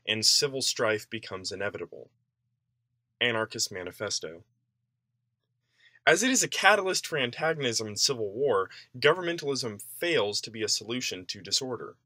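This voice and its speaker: A man's voice: medium pitch, sharp tone, no echo, studio quality. A man reading a written essay aloud in a formal, declarative way, as scripted narration. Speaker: reader of an essay.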